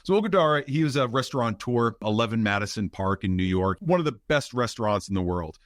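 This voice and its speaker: A man hosting a conversational interview, speaking in a reflective, articulate way with measured phrases. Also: medium pitch, lively intonation, no room sound, studio quality. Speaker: podcast host, interviewer.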